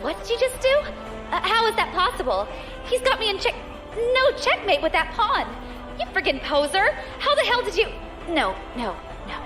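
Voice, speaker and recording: An actress playing a brash young space pirate, quick, sarcastic and observant. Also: female voice, very high voice, sing-song, over music. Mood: rattled. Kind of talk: audio drama acting.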